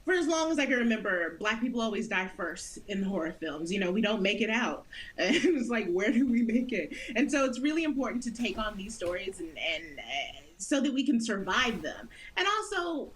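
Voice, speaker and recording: Animated laughing woman guest. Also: medium pitch, normal speed. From United States. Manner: animated, laughs often, earnest. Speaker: guest actress. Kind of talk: interview conversation.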